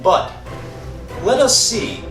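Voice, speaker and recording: A man's voice: takes it slowly, distant mic. A man hosting a horror radio series in a theatrical old-time style, sardonic and mock-sympathetic. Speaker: narrator host of horror series. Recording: roomy echo with music underneath.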